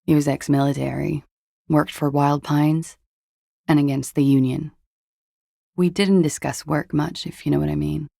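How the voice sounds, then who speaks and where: An actress playing a jaded, hard-partying young disco dancer in a video game, husky and languid, with a guarded, deadpan delivery. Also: female voice, low-pitched, moderate pace, no echo, studio quality, full character voice. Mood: guarded, flat. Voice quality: husky, gravelly with vocal fry. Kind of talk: video game voice acting, intimate dialogue.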